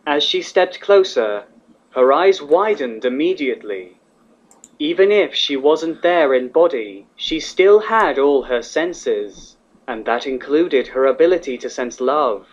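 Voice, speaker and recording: A man's voice: fairly high pitch, lively intonation, measured pace, lo-fi sound. A man reading scripted fantasy fiction aloud as the narrator of a story.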